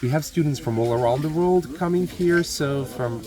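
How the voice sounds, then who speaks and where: A man who supervises a student project, explaining it informally with halting, pausing phrases. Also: fairly high pitch, darkish tone.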